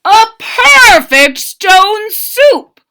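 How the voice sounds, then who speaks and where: A woman voicing a sly, cheerful male soldier in a read-aloud storybook, with a slow, savoring delivery. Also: very high-pitched, sing-song, sharp tone, voice pushed up, exaggerated voice.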